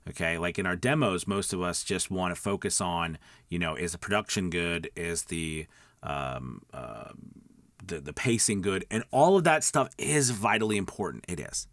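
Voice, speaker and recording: A man's voice: sits low, animated, moderate pace, fairly bright tone, no room sound, clean studio sound. A middle-aged man giving casual, conversational coaching to viewers, emphatic and often checking in with his listeners. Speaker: voice-over coach and video host. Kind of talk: casual instructional talk to viewers.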